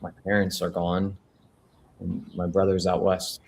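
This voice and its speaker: A man reading the part of a weary, wry newspaper proofreader at a film script table read, in a low-key conversational way. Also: completely flat delivery, unhurried, gravelly, a little mellow, breathy edge, exaggerated voice. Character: Cassidy, weary, lonely male newspaper proofreader, wryly curious.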